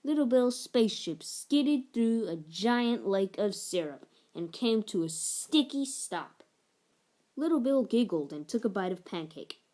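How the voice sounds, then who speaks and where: A woman reading a children's picture book aloud, narrating in an expressive, measured storytelling manner. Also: medium pitch. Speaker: story reader.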